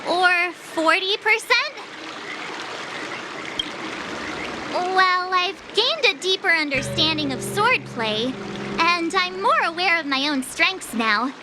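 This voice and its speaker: A woman's voice: very high-pitched, lively intonation, a little slow, background noise. A woman voicing a perky, eager young swordswoman in training, peppy and girlish, for an anime game. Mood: thoughtful.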